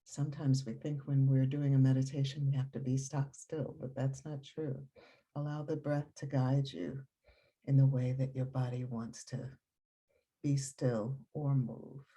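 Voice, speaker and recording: A woman leading a guided meditation in a calm, soothing, unhurried manner with long pauses and a soft, breathy voice. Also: extremely low pitch, rough recording.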